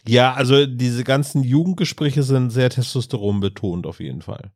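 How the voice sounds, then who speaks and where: A man's voice: medium pitch, normal speed, a little mellow, no echo, clean studio sound. A man co-hosting a podcast, joking in casual chat. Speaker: podcast host. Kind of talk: casual chat about an audio drama.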